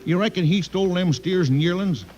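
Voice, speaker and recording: A man's voice: high voice, fairly quick, close to an everyday voice. A man playing a friendly, plain-spoken small-town sheriff with a folksy American Western drawl in a radio western. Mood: excitable. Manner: folksy, excitable. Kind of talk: old-time radio western acting. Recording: dull, noisy vintage radio recording.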